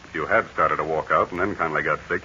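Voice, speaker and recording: A steady, commanding aviator hero in a 1940s radio serial, speaking low and quick with a bright edge. The old recording is dull and lo-fi, with faint hiss behind it. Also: male voice, heavily acted. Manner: calm, assured, commanding. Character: brave steady male aviator hero, middle-aged. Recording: dull lo-fi 1940 radio transcription.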